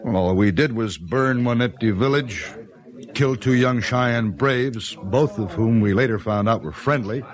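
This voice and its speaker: An actor playing a proud, restless former soldier in a radio western, polite and articulate with a sardonic edge. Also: male voice, medium pitch, unhurried, close to an everyday voice. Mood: bitter, wry. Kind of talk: old-time radio western acting.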